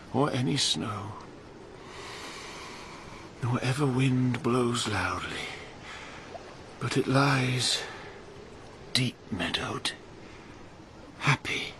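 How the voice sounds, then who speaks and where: An actor playing a weary, noble, dying old king, reciting verse gravely and haltingly over a noisy track. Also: mid-range voice, fairly bright tone, exaggerated voice. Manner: slow, halting, grave delivery.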